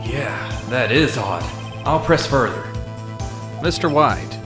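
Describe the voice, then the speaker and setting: A man's voice: mid-range voice, expressive, slow pace. A man playing a determined young defense lawyer in a video game dub, pressing and confrontational in manner. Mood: thoughtful. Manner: assertive, pressing. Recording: music under the voice.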